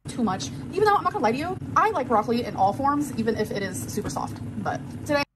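A woman's voice: on the high side, very animated, fast talker, background noise. A woman in her thirties chatting to viewers in a casual cooking and eating vlog, filmed in her home kitchen. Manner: chatty, talking straight to viewers. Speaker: vlogger in the reacted video.

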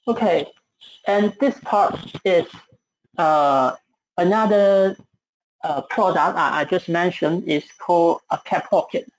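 A man with a Chinese accent presenting software in a webinar, hesitant with frequent fillers. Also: sits high, slow, a little hiss or hum, rough recording.

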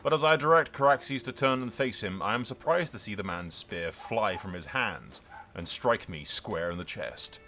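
An animated, fairly low-voiced man narrates a strategy game as theatrical role-play. His delivery is bright and forceful, sliding between storyteller drama and casual, sweary asides. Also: normal speed, slight background noise. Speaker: gaming video host.

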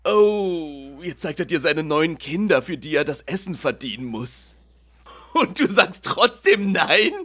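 A man's voice: a little high, animated, measured pace, bright, forceful tone, full character voice. A man voicing a goofy, easily touched young best friend in a comedic fan dub, gushing and melodramatic. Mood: curious, chatty.

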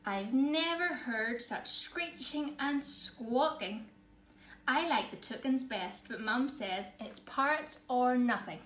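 A woman reads a children's story with theatrical emphasis, taking her time over the words. Her voice is fairly high, and the room around her is echoey with faint background noise. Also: lively intonation, takes it slowly, a bit bright. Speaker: storyteller reading a picture book. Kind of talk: expressive read-aloud for children. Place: small living room, indoors. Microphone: camera or phone a short distance away.

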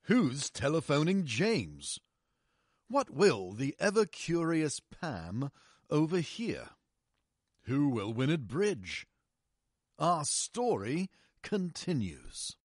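A man's voice: a little high, wildly swooping pitch, slow pace, slightly rough, dry recording, clean studio sound, heavily acted. A man playing a showy old-time radio announcer, addressing listeners formally. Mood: teasing suspense.

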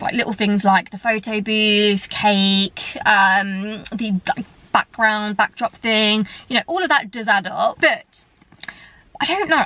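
A woman's voice: a little high, pushed and bright, no room sound. A young woman chatting to the camera from inside a parked car, rambling and talkative with lots of fillers. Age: young adult. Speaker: vlogger. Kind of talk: casual chat to camera. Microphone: camera close up, held at arm's length.

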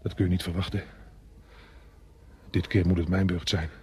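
An actor playing a fatalistic soldier in a radio drama, husky and resigned, awaiting an attack. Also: male voice, sits low, level tone, normal speed, warm tone, slight background noise, lightly acted. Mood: gloomy, resigned.

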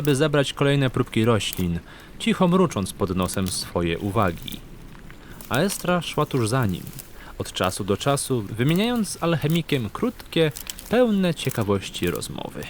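A man's voice: medium pitch, very animated, a little slow, slight background noise. A man narrating a fantasy audio drama in a scripted, dramatic storytelling style.

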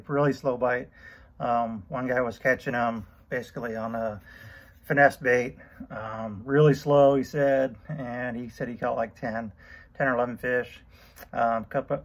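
A middle-aged man giving a casual fishing report, relaxed and rambling with many ums and uhs, recorded on a camera at arm's length. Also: mid-range voice, vocal fry, dry recording. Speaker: fishing vlogger. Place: outdoors on a boat on a lake. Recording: loud outdoor boat and wind noise. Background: boat motor and water noise.

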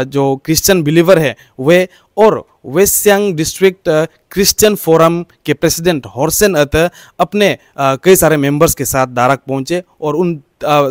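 A man giving a halting, explanatory news report into a handheld microphone. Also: fairly high pitch, dry recording, studio quality. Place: outdoor balcony by a green field.

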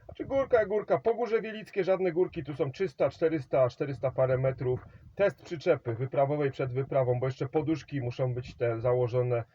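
A man's voice: mid-range voice, moderate pace, warm tone, muffled recording, a little hiss or hum. A man working a CB radio contact, rambling about his trailer setup, giving signal reports and handing over the microphone. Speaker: CB radio operator.

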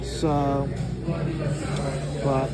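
A man talking casually and ramblingly as he draws, halting often and repeating his phrases. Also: medium pitch, completely flat delivery, over loud music. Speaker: artist hosting a drawing livestream or video. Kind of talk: casual rambling talk while drawing.

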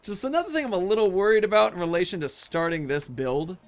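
A man's voice: high voice, fast talker, faint music behind. A man in his thirties giving casual, playful gameplay commentary, rambling and talking to himself as he plays. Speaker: gaming video host.

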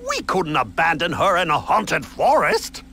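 A man voicing a fussy, excitable elderly mad scientist in an animated game, dithering and theatrical. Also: fairly high pitch, a little slow, exaggerated voice. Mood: puzzled. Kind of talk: animated game cutscene acting.

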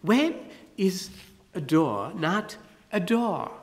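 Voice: medium pitch, very animated, very slow, voice pushed up. A narrator voicing a clever, proud young girl who speaks earnestly and musingly, with a long hall reverb on the recording.

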